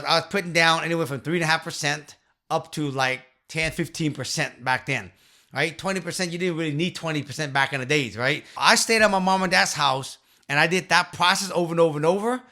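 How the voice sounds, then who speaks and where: Man, 50s, earnest vlog host speaking to camera into a studio mic; bright, slightly raised voice. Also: a little high. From Vietnam. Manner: earnest, emphatic, talks with his hands. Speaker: real estate investor and channel host. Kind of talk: direct-to-camera storytelling. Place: small home office. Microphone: studio mic on a boom arm.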